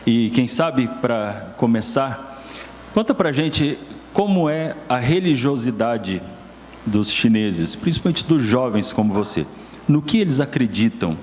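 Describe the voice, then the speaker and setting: A man's voice: medium pitch, very animated, a little slow, darkish tone. A male pastor preaching a sermon, asking rising emphatic questions, then interviewing a guest on stage. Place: church sanctuary. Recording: long church hall reverb, faint noise.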